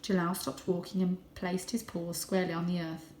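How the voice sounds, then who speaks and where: A woman's voice: lowish voice, little pitch movement, moderate pace, a little mellow, a little hiss or hum. Woman reading a children's story, echoey room. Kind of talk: children's audiobook narration. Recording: roomy, echoing indoor sound.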